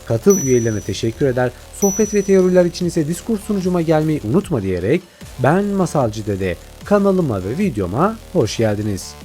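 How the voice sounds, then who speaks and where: A man's voice: a little high, big pitch swings, fairly quick, warm tone, faint music behind. A man delivering scripted video essay narration as a channel host.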